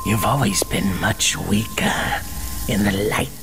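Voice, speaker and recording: A man playing a sinister, taunting demon-vampire villain with a drawn-out delivery in a comic book dub. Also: lowish voice, creaky. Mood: gloating, menacing. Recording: dramatic music behind the voice.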